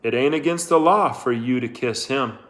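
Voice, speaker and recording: A man voicing a drunken, menacing small-town sheriff in a storybook, leering in a slow drawl. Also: medium pitch, a bit bright, natural voice. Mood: smug.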